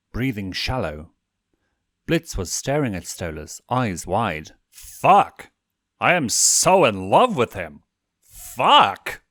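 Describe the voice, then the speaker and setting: A man's voice: low voice, a little mellow, no room sound, clean studio sound. A man narrating fan fiction as a scripted, dramatic audiobook reading.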